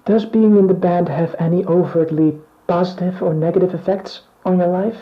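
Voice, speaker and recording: A man acting in a scripted mock band interview, speaking in a measured, plain and earnest way. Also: sits high, steady tone, lo-fi sound, natural voice. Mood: calm, earnest.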